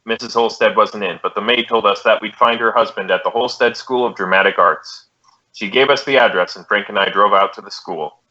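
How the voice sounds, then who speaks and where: An American actor playing a terse, businesslike police detective who narrates an old radio crime drama in a deadpan, polite way. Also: male voice, lowish voice, fairly quick. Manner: deadpan, matter-of-fact, polite. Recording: dull, band-limited old radio recording.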